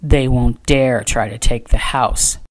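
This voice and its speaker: A woman voicing a gruff, stubborn male rancher with a terse, growling delivery in an audiobook. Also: low-pitched, a little slow, a little mellow. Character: gruff, stubborn, commanding cowboy rancher man.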